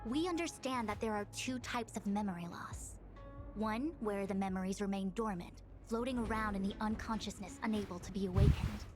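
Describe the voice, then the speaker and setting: A woman's voice: medium pitch, a little fast, music in the background, close to an everyday voice. A woman playing a learned, exacting diviner in a video game, speaking formally and precisely in long explanations. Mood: lecturing. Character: learned, exacting female diviner, long-winded and self-assured. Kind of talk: scripted video game character dialogue.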